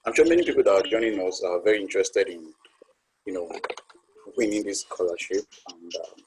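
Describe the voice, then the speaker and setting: A man's voice: sits low, fairly quick, darkish tone, a little hiss or hum, poor audio. A man moderating a panel discussion, asking long rambling questions full of fillers. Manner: rambling, lots of "you know".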